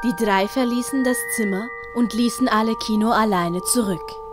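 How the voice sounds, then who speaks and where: A woman's voice: fairly high pitch, normal speed. A woman reading scripted audiobook narration as the storyteller. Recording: prominent music behind the voice.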